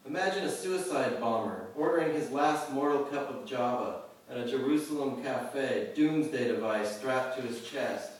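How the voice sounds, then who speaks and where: A man giving a live poetry reading in a measured, deliberate way, pausing at the ends of lines. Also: mid-range voice. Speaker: poet. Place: indoor reading venue with a live audience. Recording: very echoey room, distant mic, faint noise.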